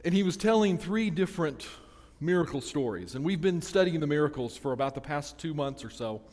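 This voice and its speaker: A man's voice: fairly high pitch, lively intonation, fairly quick, a little mellow. A man preaching a sermon to his church in a casual, self-deprecating way, often restarting his sentences. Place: church sanctuary. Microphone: handheld or stand microphone. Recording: long church reverb.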